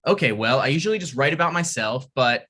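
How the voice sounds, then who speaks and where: A man's voice: mid-range voice, animated, fast talker, fairly bright tone, a little hiss or hum. A man reading his lines at a script table read, hesitant and self-deprecating, with stammers and restarts.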